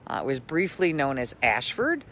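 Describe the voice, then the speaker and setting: A man's voice: medium pitch, huge pitch swings, moderate pace, sharp tone, a little hiss or hum. A man who works as a local history tour guide, speaking in an emphatic storytelling way. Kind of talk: scripted story reading, then informal tour talk.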